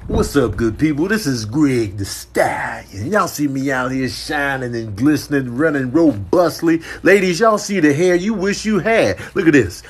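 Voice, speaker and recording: A man's voice: on the high side, expressive, moderate pace, few pauses, slight background noise, pitched below the performer's own voice, close to an everyday voice. A man voicing a vain, swaggering stallion in slangy comedic animal voiceover, boasting without remorse. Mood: boastful. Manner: cocky slangy street banter.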